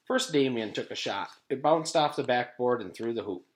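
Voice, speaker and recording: A man reading a children's chapter book aloud as a teacher does for students, in an animated storytelling style. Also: medium pitch, moderate pace.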